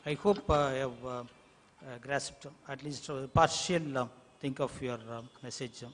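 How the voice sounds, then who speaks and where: A man whose first language is Tamil, a translator speaking on a panel in English, halting with many fillers and restarts. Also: medium pitch, fairly even intonation, a bit bright. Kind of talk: hesitant panel remarks. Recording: long hall reverb, faint ambient noise.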